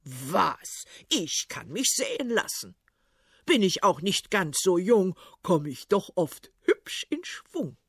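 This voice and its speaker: A woman's voice: lowish voice, sing-song, slow pace, a bit bright, husky, dry recording, studio quality, exaggerated voice. A woman voicing a vain, blustering old male tsar with a pompous, threatening manner. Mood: boastful.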